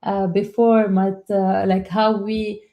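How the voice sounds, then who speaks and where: A woman co-hosting a webinar, talking casually and haltingly, with frequent restarts and repeated words. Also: mid-range voice, level tone, highs cut off. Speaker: webinar co-host.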